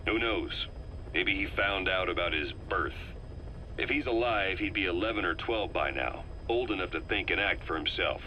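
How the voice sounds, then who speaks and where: An actor playing a shrewd male intelligence operative, delivering a measured, earnest briefing in a video game tape. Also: deep voice, lively intonation, sharp tone, slight background noise, poor audio.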